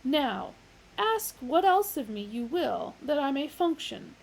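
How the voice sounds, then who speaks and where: A woman voicing a vast, solemn male supercomputer who speaks in grand, measured pronouncements. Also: high voice, very animated, creaky, voice pushed up, full character voice, rough recording.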